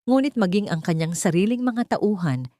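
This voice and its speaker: A woman's voice: mid-range voice, lively intonation, moderate pace, mellow, no echo, clean studio sound. A woman with a Filipino accent reading a dramatic scripted story as an audiobook narrator.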